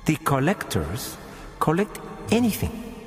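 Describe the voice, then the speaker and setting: An actor playing a sinister, leisurely murderer in a radio horror drama, speaking formally and precisely with mocking courtesy, with music under the voice. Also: male voice, on the high side, huge pitch swings, unhurried, long reverb tail. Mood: smug, menacing. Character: suave, sinister male murderer, icily polite and leisurely.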